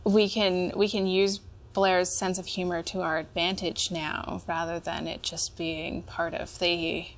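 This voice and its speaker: Female therapy client, slightly low voice, very slow pace, candid and reflective. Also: flat delivery, slight background noise. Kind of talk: reflective conversation.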